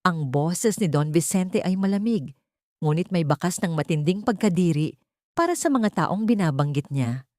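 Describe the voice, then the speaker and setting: A woman with a somewhat low voice narrates a dramatic audio story. Her delivery is scripted storytelling, and the sound is dry, with little room around it. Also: animated, moderate pace, a little mellow, dry recording.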